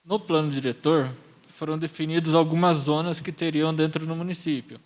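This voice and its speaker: A man with a Brazilian accent and a slightly high voice speaks as a municipal planning presenter. He explains things in a measured way, as at a formal public hearing. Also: steady tone, a little mellow.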